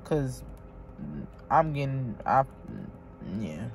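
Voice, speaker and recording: A woman vlogging from inside her car, reading aloud haltingly and chatting straight to her viewers. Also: a bass voice, very animated, low-quality recording. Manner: halting read-aloud, stumbles and restarts; chatty, talks directly to viewers. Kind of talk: casual vlog, reading aloud.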